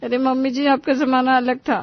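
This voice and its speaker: A woman's voice: high-pitched, flat delivery, normal speed, dry recording, highs cut off. Woman voicing an outspoken complaining young daughter-in-law, dry sound. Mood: protesting. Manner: complaining, argumentative. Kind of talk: Hindi cartoon story voice acting.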